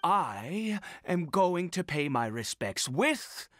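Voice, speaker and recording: A man's voice: fairly high pitch, very animated, fairly bright tone, no room sound, heavily acted. A theatrical, wildly swooping fanatical father. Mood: indignant, zealous. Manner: theatrical, halting, with pauses and emphatic swells. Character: fanatical, unhinged father devoted to a mysterious god. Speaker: voice actor. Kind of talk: dramatic game dialogue acting.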